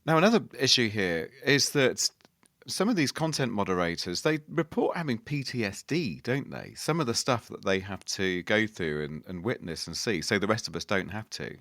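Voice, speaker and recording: A man's voice: lowish voice, very animated, normal speed, fairly bright tone, no echo. A man hosting a podcast interview, probing his guest and playing devil's advocate. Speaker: podcast host.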